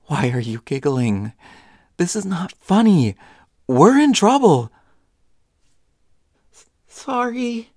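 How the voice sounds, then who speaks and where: A young woman acting as a flustered, pouty teenage schoolboy in an intimate roleplay audio, stammering and often hushed to a whisper, indignant but secretly smitten. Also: lowish voice, sing-song, slow, pauses often, no room sound, studio quality, full character voice. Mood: annoyed, flustered.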